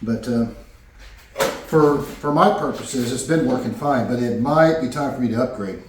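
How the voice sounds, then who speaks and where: A man's voice: mid-range voice, darkish tone, distant mic, a little hiss or hum. Man talking in an echoey workshop. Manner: rambling, halting with fillers and restarts. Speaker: woodworking vlogger. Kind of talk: casual workshop narration. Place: home workshop.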